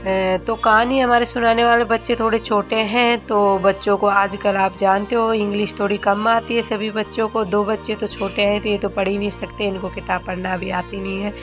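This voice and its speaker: A hoarse woman in her thirties from Rajasthan narrates her home vlog in a loose, chatty way, stopping often on filler sounds. Her pitch sits in the middle, and soft music runs quietly under her voice. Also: flat delivery, normal speed, few pauses. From Rajasthan, India. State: hoarse from a cold. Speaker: family vlogger.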